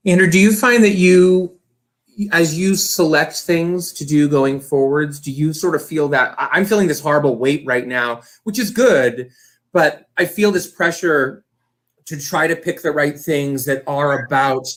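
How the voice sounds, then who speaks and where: A man's voice: a little high, unhurried. A man speaking conversationally as an arts director and guest, earnest and effusive, in long wordy sentences. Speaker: theatre company director, guest. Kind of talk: conversational talk about running an arts organisation.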